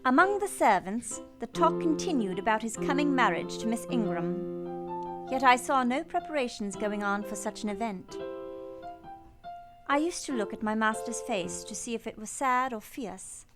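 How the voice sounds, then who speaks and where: A woman's voice: a little high, animated, normal speed, lightly acted. A woman playing an earnest, proud young governess in love, speaking formal literary lines in a radio play over music. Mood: wistful narration. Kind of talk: radio play acting with first-person narration. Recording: music behind the voice.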